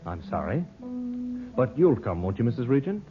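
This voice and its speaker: An actor playing an affable, apologetic gentleman reporter, courteous and easily flustered, in a 1940s radio drama. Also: mid-range voice, sing-song, normal speed, close to an everyday voice. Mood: hearty, courteous. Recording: dull old radio recording, faint music.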